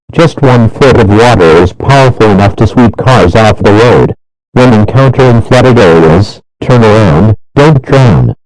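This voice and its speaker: A synthetic male voice reading a scripted emergency weather warning in a formal, measured, impersonal manner. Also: on the low side, a little mellow, dry recording, low-quality recording. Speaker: weather alert announcer.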